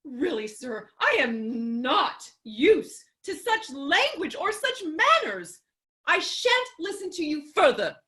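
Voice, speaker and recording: A woman's voice: high voice, very animated, takes it slowly, a bit bright, exaggerated voice. A woman playing a prim, offended young widow in a theatrical stage comedy reading, speaking formally and with indignation. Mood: offended, firm.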